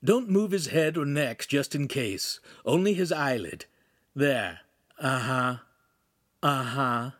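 A man's voice: mid-range voice, lively intonation, slow, a bit bright, dry recording, clean studio sound, voice pushed up. A man voicing a small holographic imp in an audiobook, mocking and pompous, declaiming in a florid, grandiose way. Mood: earnest, attentive. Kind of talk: audiobook character voice.

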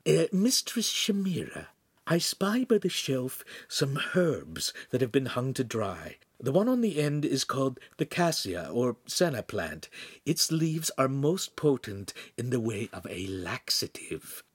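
A man voicing a tiny mischievous creature who whispers sly schemes in a hushed voice. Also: medium pitch, sing-song, unhurried, breathy edge, no echo, voice pushed up. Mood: scheming.

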